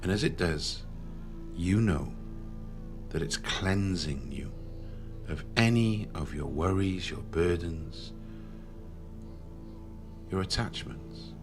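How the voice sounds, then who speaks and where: A man leading a guided meditation in a slow, soothing manner with long pauses. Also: sits low. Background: background music.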